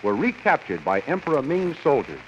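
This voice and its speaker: A dull, slightly hissy vintage radio recording carries an American man announcing an adventure serial. His voice sits a little high and he declaims each phrase formally and dramatically, in the grand manner of 1930s radio. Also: huge pitch swings, brisk.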